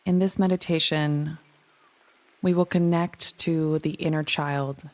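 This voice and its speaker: A woman leading a guided meditation in a soothing, gentle, unhurried manner. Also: deep voice, fairly even intonation. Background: music.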